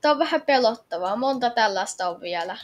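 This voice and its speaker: Woman gamer, very high voice, excitable, repetitive short callouts during casual online play. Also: a little hiss or hum. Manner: excitable, repeats short phrases and exclamations. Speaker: gamer playing an online game with others. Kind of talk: casual gameplay chatter with excited shouting.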